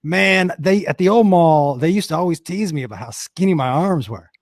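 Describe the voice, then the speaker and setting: A man in his fifties from Western Massachusetts hosting a casual chat over a video call, his voice hoarse, often stammering and restarting and laughing easily. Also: a little high, expressive, normal speed, no room sound, studio quality. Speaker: host.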